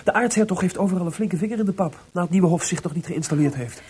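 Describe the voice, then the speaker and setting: High-voiced, spirited young composer. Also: male voice, quick pace, warm tone, husky. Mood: excited, hopeful. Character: spirited young male composer, cheeky, restless, hopeful. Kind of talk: Dutch radio play acting.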